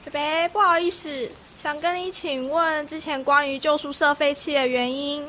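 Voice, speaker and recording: A woman's voice: high voice, steady tone, moderate pace, pushed and bright. A woman playing a sensible, level-headed schoolgirl with a Taiwanese accent in a student radio drama. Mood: matter-of-fact. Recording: noisy amateur radio drama recording.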